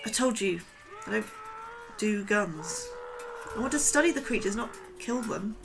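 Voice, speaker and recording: A woman plays an anxious young astrobiologist, earnest and forever asking questions. Her voice sits at an ordinary middle pitch, in a sci-fi horror audio drama. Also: animated, a little slow, music in the background.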